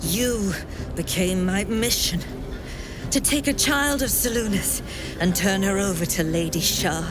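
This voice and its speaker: Woman as a slow, proud cult leader. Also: mid-range voice, noisy background, natural voice. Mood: solemn, unrepentant. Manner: grandiose, deliberate, taunting. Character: proud, bitter female cult leader and cruel mentor. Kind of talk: theatrical fantasy game acting. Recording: music playing behind the voice.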